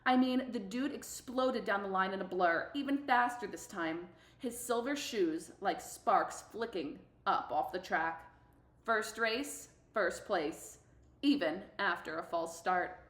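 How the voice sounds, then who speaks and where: A woman's voice: mid-range voice, a little slow, fairly bright tone, natural voice. A woman voicing a fiercely competitive, sassy middle-school girl runner, telling her story with slangy, emphatic delivery in a storybook read-aloud. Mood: sassy, confiding. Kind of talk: expressive audiobook-style read-aloud. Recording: echoey room.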